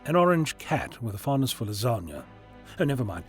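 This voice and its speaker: A man's voice: medium pitch, big pitch swings, fairly quick, close to an everyday voice. A man playing a genial, eccentric time-travelling scientist in an audio drama, chatty and given to lecturing. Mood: whimsical. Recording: music behind fan audio drama.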